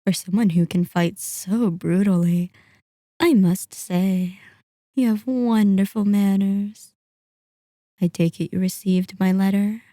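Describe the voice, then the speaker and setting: A woman playing a seductive, sadistic vampire in an ASMR roleplay, courtly and teasing, with breathy murmurs. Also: mid-range voice, fairly even intonation, slow, dark tone, no room sound, clean studio sound, close to an everyday voice. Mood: courteous, teasing. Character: seductive, sadistic, possessive female vampire, toying host. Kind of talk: ASMR roleplay, scripted character acting.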